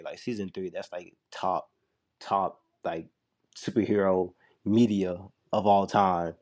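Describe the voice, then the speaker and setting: A young man giving a loose, chatty spoken review, full of "like" and restarts, while a little sick. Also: low voice. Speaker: show reviewer.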